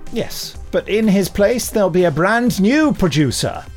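A man's voice: high voice, very animated, measured pace, soft background music. A man hosting a casual podcast chat and interviewing, in a jokey, self-interrupting way.

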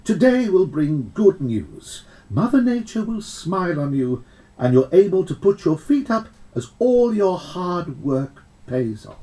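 A man reading a science fiction adventure as a measured, wry storyteller, with deliberate, expressive phrasing. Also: high-pitched. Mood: measured storytelling. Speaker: audiobook reader. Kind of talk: dramatic scripted narration of a science fiction story.

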